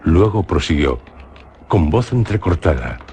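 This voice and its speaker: A man with a Spanish accent from Spain narrating a radio drama in a measured, solemn way. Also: sits low, big pitch swings, darkish tone, a little hiss or hum.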